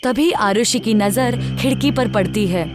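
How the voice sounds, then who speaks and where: Higher-voiced woman narrating horror. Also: unhurried. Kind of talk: scripted horror story narration. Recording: music under the voice.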